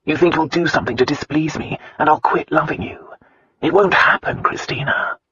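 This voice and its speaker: An actor playing a tender, commanding husband in a romance audiobook, speaking earnestly and insistently. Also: male voice, medium pitch, moderate pace, bright, forceful tone, husky, no echo, highs cut off.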